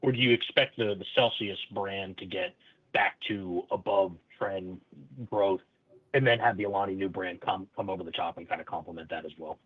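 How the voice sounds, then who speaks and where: A male analyst asks a long question over a dry, phone-like line. His voice is fairly low, and he repeats words as he works through his phrasing. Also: normal speed, dry recording. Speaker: financial analyst caller. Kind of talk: asking questions on earnings call.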